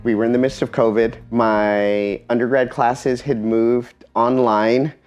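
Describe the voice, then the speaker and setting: A man giving a personal talk in an earnest, reflective way, pausing often between phrases. Spanish is his first language. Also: mid-range voice, level tone, a little mellow, clear, resonant tone, highs cut off, faint music behind. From Eastern Washington, USA.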